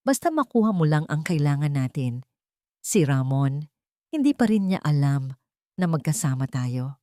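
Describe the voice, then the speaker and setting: A woman voicing a smug, calm, reassuring male swindler and accomplice in a story. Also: low voice, moderate pace, dry recording, clean studio sound.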